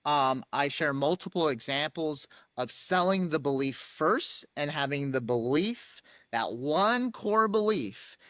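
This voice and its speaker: A man's voice: a little high, lively intonation, takes it slowly, hardly any pauses, a bit bright, no room sound. A man hosting a podcast, chatting casually and reacting with enthusiastic affirmations. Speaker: podcast host.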